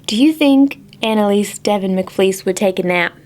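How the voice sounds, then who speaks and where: A woman hosting a children's storytime, reading aloud in an animated, exaggerated way and putting questions to young listeners. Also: mid-range voice, normal speed. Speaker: children's librarian, storytime host. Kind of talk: children's picture-book read-aloud and singalong.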